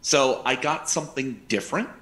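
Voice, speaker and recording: A man's voice: medium pitch, moderate pace, sharp tone. A middle-aged man co-hosting a show with casual commentary, speaking into a headset mic. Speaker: co-host of an anime news show. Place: indoors on a video call.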